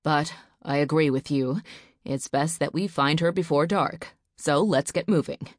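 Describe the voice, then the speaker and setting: A woman's voice: deep voice, animated, moderate pace, no echo, studio quality. A woman voicing a stern, worried father who gives firm orders to his family.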